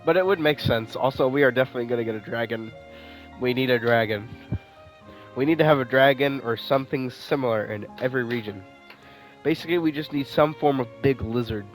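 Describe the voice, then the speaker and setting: A man's voice: medium pitch, fairly quick, low-quality recording. A man giving casual let's play commentary as a gaming streamer. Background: game music.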